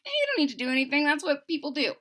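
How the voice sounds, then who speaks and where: A woman's voice: high-pitched, very animated, racing, voice pushed up. A woman voicing a kindly old harper man. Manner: soft-spoken, kindly. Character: kind, gentle old male harper. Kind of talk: storybook read-aloud.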